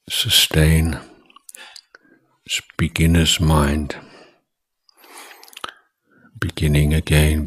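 A man with an extremely low, husky voice leads a guided meditation. He speaks very slowly and deliberately, setting down short phrases with long pauses between them. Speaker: Buddhist monk and meditation teacher.

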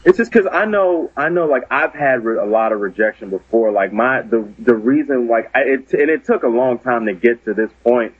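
A man's voice: mid-range voice, moderate pace, few pauses, breathy edge, no echo, phone-quality sound. A man, a comedian guest on a podcast, telling a story in an earnest, self-deprecating way.

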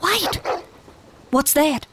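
An actress playing a plainspoken, sociable pioneer wife from 1880s Arkansas in a radio drama, with a folksy Southern American accent. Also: female voice, high-pitched, lively intonation, very slow, background noise, exaggerated voice. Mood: watchful. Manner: folksy, forthright.

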